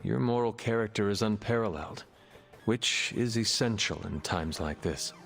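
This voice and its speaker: An actor playing a formal, old-fashioned young man in a video game, the son of a demon queen, with a gravelly voice. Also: fairly low pitch, moderate pace, a bit bright, soft background music. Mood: calm. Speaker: game character. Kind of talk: video game cutscene acting.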